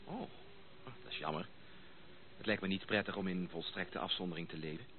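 An actor playing a courteous, formal gentleman visitor with a slightly hesitant manner in a Dutch radio play. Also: deep voice, fairly quick, close to an everyday voice. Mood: courteous, reserved. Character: polite, slightly flustered gentleman visitor, lost on the way. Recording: tinny band-limited old radio play recording, noisy.

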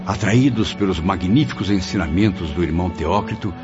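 Man playing a deep, solemn spirit memoirist. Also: a little fast, lightly acted. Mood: reflective, solemn. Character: solemn male spirit recounting his memoirs. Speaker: narrator. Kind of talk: dramatic scripted narration. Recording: muffled audio under music.

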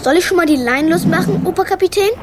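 High voice playing an eager little boy helmsman. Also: quick pace, heavy background noise. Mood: eager, excited. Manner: eager, earnest, childlike. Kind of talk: German children's radio play acting.

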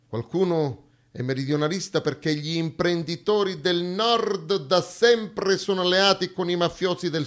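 A man acting on stage, delivering a dramatic monologue in an emphatic, declamatory way with rhetorical repetition. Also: mid-range voice. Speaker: stage actor performing a theatre monologue. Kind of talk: dramatic theatrical monologue, rhetorical repeated lines.

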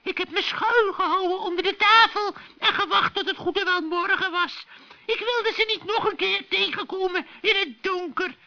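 A man's voice: extremely high pitch, normal speed, creaky, bright, forceful tone, voice pushed up, heavily acted. A man voicing a frantic, wheedling old forest witch in a children's radio play, panicky, pleading and stammering. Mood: frightened. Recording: dull old radio recording.

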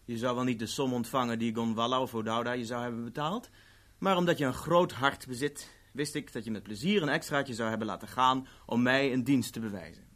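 A man's voice: medium pitch, a little fast, few pauses, fairly bright tone, lightly acted. A man acting in a 1970s Dutch radio play as an urbane, wry agent who delivers threats politely and matter-of-factly. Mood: suave, menacing. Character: urbane, coolly menacing male agent of a ruthless organisation.

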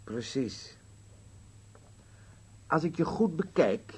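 An actor playing a grumpy, bickering old husband in a Dutch radio play, curt and dry, with a husky voice. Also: male voice, fairly high pitch, lively intonation, slow, dark tone, exaggerated voice. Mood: needling, grumpy. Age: older.

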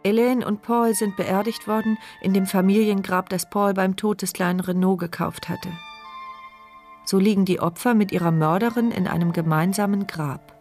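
An actress playing a tender, resilient woman who narrates her inner thoughts in a German radio crime drama, reflective, intimate and measured. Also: medium pitch, steady tone, darkish tone, soft background music, natural voice. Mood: tender narration.

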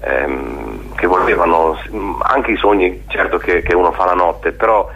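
Male interviewee, very low husky voice, reflective and hesitant conversational delivery, slightly dull radio sound. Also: a bit bright. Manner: halting and reflective, often seeking agreement with tag questions. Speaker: theatre maker being interviewed.

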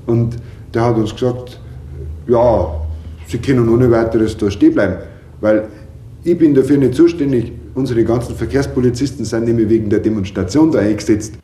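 A man's voice: mid-range voice, moderate pace, mellow. A man casually telling an anecdote in spontaneous speech, quoting what others said. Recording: roomy echoey room with faint noise.

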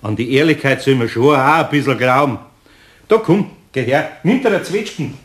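An actor with a Bavarian accent playing a kindly, patient older master craftsman in a children's radio play, fatherly and gently admonishing. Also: medium pitch, lively intonation, moderate pace, close to an everyday voice. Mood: kindly, consoling.

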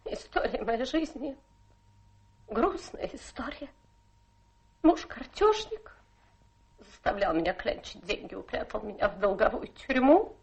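Self-pitying adventuress, radio drama. Very high, unhurried delivery, plaintive, flirtatious, stagey tragic airs. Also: female voice, lively intonation, vocal fry, husky, heavily acted. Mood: tearful, desperate. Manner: melodramatic, plaintive, coquettish. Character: theatrical, self-pitying adventuress feigning a tragic fallen lady. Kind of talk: theatrical radio play acting.

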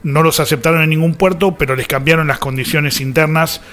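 A man's voice: a little high, steady tone, brisk, a bit bright. A man with an Argentine accent hosting a radio show in a casual, conversational way that tends to digress.

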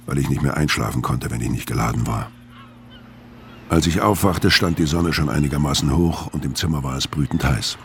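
Brooding killer narrator, very deep voice. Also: male voice, slight background noise. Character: brooding hard-drinking male fugitive killer narrating his story. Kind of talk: scripted first-person crime radio play narration.

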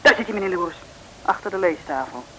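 A woman's voice: on the low side, animated, fast, bright, forceful tone. A woman playing a young, eager detective's assistant in an old radio serial. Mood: hushed. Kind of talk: 1950s radio serial acting. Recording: very noisy old radio recording.

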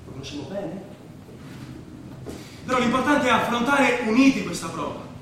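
Scheming refugee leader, high male voice, commanding, urgent, stage drama, distant in a very echoey space. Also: big pitch swings, moderate pace. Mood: rallying. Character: Remo, bossy, scheming leader of evicted refugees. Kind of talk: staged radio drama acting. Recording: echoey stage from far off, noisy.

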